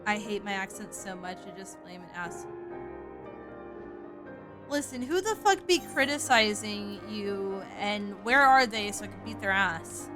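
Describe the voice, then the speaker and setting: A woman's voice: mid-range voice, a little slow, over music. A woman from the American South with a light Southern accent, chatting casually and cursing freely while streaming a game. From Southern United States. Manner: chatty, profane, animated. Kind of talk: casual gaming stream chat.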